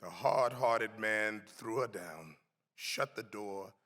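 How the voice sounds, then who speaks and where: A man giving a solemn, emphatic dramatic reading of a historical text in a deliberate, theatrical way. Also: fairly low pitch, a bit bright. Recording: echoey hall with long reverb.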